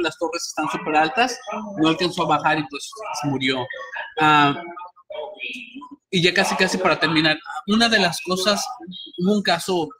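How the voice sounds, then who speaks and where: A man's voice: on the high side, low-quality recording. A man hosting a podcast, telling stories animatedly with many restarts. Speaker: podcast host. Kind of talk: casual interview chat.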